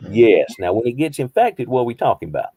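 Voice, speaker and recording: Mellow-voiced man in his fifties. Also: medium pitch, sing-song, fast, husky, no echo. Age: 50s. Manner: asks rhetorical questions, repeats phrases for emphasis. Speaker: behavioral health counselor. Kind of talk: conversational group teaching.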